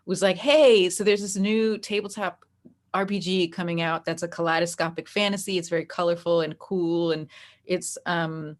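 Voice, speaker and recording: A woman talking casually as an interview guest about her work as a game master, telling it in an animated, conversational way. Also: fairly low pitch, moderate pace. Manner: animated storytelling that acts out quoted dialogue, frequent "like".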